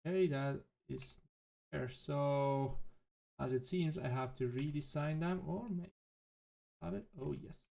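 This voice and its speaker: A man whose native language is German, thinking aloud in halting, self-correcting commentary as he plays a game. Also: fairly high pitch, creaky, warm tone. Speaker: let's play host. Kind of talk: casual gameplay commentary.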